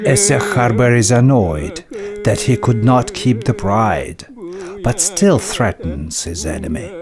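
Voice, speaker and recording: A man narrating a folk epic as scripted storytelling, in a measured, solemn manner. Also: medium pitch, very animated. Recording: voice-over with loud music behind. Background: loud music.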